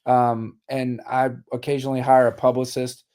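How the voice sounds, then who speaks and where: A man's voice: medium pitch, little pitch movement, moderate pace, a little mellow, clean tone. A man in his forties, a musician guest on a radio interview, talking in a candid, wry, matter-of-fact way, his voice slightly worn. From Richmond, Virginia. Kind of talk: casual interview chat.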